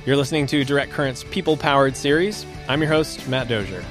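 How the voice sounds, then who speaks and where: A man hosting a science podcast, reading a scripted intro and asking interview questions clearly. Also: mid-range voice, brisk, over music. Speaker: podcast host.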